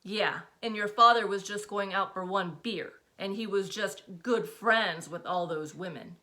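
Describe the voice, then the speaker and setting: A woman's voice: mid-range voice, measured pace, sharp tone. A woman voicing an exasperated, protective middle-aged mother who scolds sharply and with rising emphasis. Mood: scolding.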